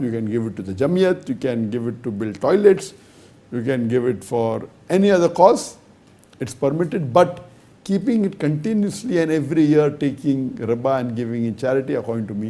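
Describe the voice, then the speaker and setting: A man's voice: mid-range voice, dark tone. A man, an Islamic scholar, answering an audience question in a lecture, reasoning step by step with emphatic stresses and rhetorical questions.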